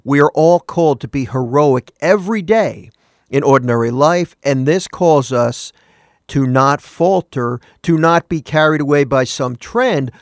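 A man's voice: medium pitch, no echo, clean studio sound. Earnest man talking on morning radio. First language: not Spanish. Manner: earnest, conversational, self-correcting, asks listeners questions.

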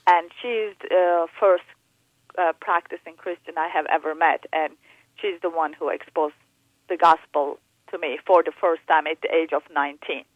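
A woman's voice: low voice, fairly even intonation, moderate pace, no echo. Guest, female, hesitant and thoughtful, heard on a tinny phone call. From Turkey. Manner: hesitant, many fillers and restarts. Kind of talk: interview on faith and upbringing.

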